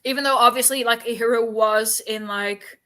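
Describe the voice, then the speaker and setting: Woman, very bright voice, slightly high pitch, casual book chat, tentative, reflective host. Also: fairly even intonation, normal speed.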